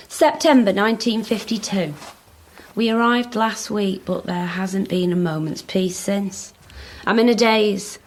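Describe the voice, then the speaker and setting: A woman playing a curious, playful British character with a wry manner in a naturalistic radio drama. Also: mid-range voice. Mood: wry, absorbed. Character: nosy, wry British woman rummaging through an estate. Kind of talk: naturalistic radio drama dialogue and reading aloud.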